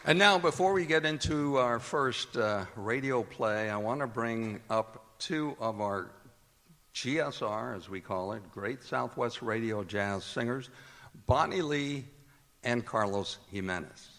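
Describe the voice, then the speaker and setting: A man's voice: medium pitch, expressive, measured pace, a bit bright. A man hosting live radio theater on a performing arts stage, reading announcements and sponsor spots in a projected, presentational way. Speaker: announcer and host. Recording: hall reverb, faint music.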